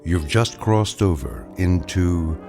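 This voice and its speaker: A man with a very low voice delivers a slow, solemn anthology opening. Music plays clearly beneath him. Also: expressive. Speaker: narrator. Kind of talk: dramatic anthology opening narration.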